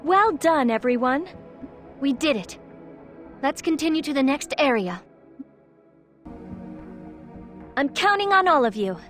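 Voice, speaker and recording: A woman's voice: sits high, big pitch swings, moderate pace, a bit bright, faint music behind. A woman voicing a resolute, noble young princess in a fantasy video game, speaking in a composed, formal, earnest way. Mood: encouraging. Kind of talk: video game cutscene acting.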